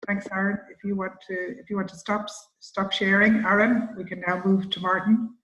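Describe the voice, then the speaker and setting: A woman moderating a webinar over a video call, briskly introducing and handing over to speakers. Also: mid-range voice, level tone, a bit bright. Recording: roomy, dull lo-fi call audio.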